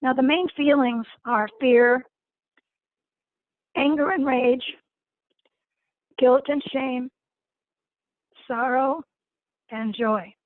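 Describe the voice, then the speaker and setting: Hoarse woman facilitator, high voice, gentle, halting, heard on a thin video call line. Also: dry recording, phone-quality sound. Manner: gentle, repeats words while finding them. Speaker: guest facilitator. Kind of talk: informal lecture to an online group.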